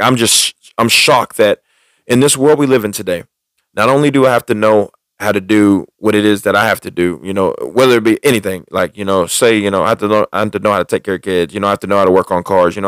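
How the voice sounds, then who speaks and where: A man's voice: on the low side, fairly bright tone, no echo. A man hosting a podcast, telling personal stories and venting casually, often repeating and restating his phrases for emphasis. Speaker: podcast host.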